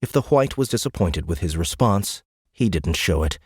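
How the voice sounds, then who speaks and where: A man reading scripted dramatic audiobook narration in a measured, suspenseful manner. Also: deep voice, animated, breathy edge, no echo, clean studio sound.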